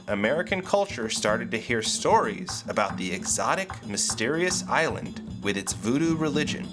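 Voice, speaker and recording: Fatherly ghost host, acted by a man, scripted explainer, swooping pitch, music underneath. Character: fatherly ghost storyteller explaining horror history. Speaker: host and narrator. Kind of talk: scripted educational narration.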